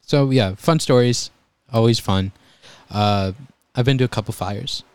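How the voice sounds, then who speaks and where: A young man telling EMT stories in a relaxed, calm voice during a casual chat. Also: medium pitch, measured pace, clear, resonant tone, no echo. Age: young adult. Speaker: guest, EMT volunteer.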